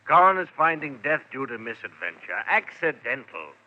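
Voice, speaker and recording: An actor playing a doctor giving a clipped, formal, matter-of-fact statement in a 1940s radio drama. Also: male voice, medium pitch, very animated, normal speed, sharp tone. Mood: clinical. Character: clinical male doctor dictating an official statement. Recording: dull, noisy vintage radio recording.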